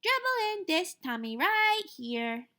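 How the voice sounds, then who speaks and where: A woman voicing a mischievous giggly toddler boy who talks in high, squeaky baby talk. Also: very animated, takes it slowly, sharp tone, exaggerated voice. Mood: teasing.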